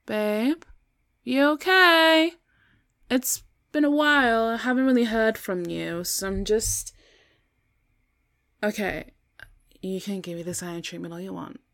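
A woman acting a foul-mouthed young woman in an audio drama, speaking in a halting, informal way with breathy murmurs. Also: lowish voice, steady tone, a little mellow, no echo, studio quality. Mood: strained, coaxing. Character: foul-mouthed young woman, casual with friends, pleading when threatened. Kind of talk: solo audio roleplay drama, one side of dialogue.

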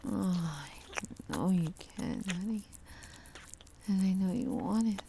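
Breathy, slow whispering woman, adult ASMR. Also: medium pitch, a little hiss or hum. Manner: mostly breathy whisper, slow, drawn-out, soothing with soft hums. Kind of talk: sexually explicit whispered roleplay audio, ASMR.

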